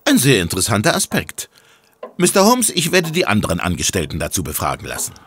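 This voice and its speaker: An actor playing a formal, sceptical police inspector in a German audio drama, speaking emphatically. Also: male voice, lowish voice, wildly swooping pitch, fast talker, a bit bright, a little hiss or hum.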